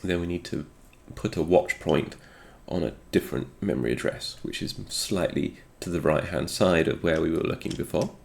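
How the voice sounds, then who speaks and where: Male tech explainer, very creaky low voice, a little stuffed up, talks through his reasoning as he goes. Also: normal speed, few pauses. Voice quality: slightly congested. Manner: thinking aloud, musing. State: congested, recovering from a cold. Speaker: host of a retro game programming video. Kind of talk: unscripted technical screen walkthrough.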